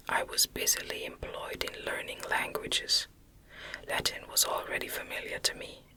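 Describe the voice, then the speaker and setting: A woman whispering a classic Gothic novel as an ASMR audiobook, hushed and measured, close to the microphone. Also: rough recording. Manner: hushed, measured, unvoiced delivery. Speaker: audiobook reader.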